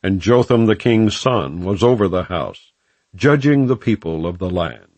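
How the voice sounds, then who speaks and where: A low male voice reads scripture as an audiobook narrator. His delivery is solemn and formal, with measured phrasing and a lively rise and fall in pitch. The sound is dry and close, free of room echo.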